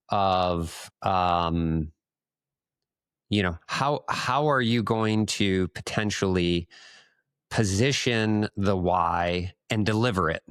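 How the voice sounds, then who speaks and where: A man co-hosting a casual business podcast, thinking aloud in a halting way as he frames questions. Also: sits low, a bit bright, smooth, no echo, clean studio sound. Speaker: podcast co-host asking questions.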